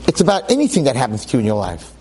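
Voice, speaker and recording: A man's voice: mid-range voice, huge pitch swings, racing, darkish tone, slight background noise. A man giving a Torah lecture to a class, emphatic and dramatic, repeating phrases and asking rhetorical questions. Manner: public speaker.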